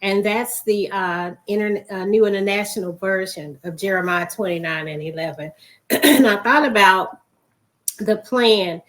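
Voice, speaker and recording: A woman hosting a faith broadcast, hoarse and losing her voice, often clearing her throat as she gives a reflective, encouraging talk. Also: medium pitch, measured pace, fairly bright tone.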